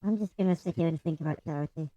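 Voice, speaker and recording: A woman's voice: deep voice, very fast, warm tone, no echo. A woman taking part in a tabletop roleplaying game as a player, speaking in casual chat and light roleplay. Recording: dull, dry lo-fi audio.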